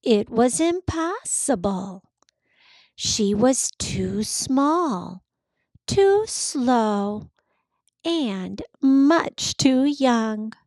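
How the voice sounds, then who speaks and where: Female storybook reader for young kids, somewhat high voice, expressive and very unhurried. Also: no echo, low-quality recording. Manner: expressive, deliberate, simple phrasing for children. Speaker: host of children's read-alouds. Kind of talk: storybook read-aloud for young children.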